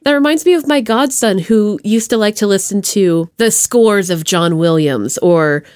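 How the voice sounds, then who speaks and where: A woman hosting a podcast in casual chat, with a gushing, expressive manner. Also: mid-range voice, moderate pace, no room sound, studio quality. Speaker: podcast host.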